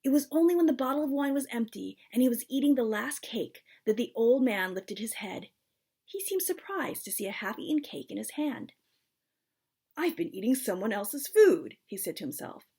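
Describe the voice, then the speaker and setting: A woman's voice: fairly high pitch, lively intonation, brisk, dark tone, breathy edge. A woman reading aloud from a children's storybook as its author, in a narrative style. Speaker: author reading their book.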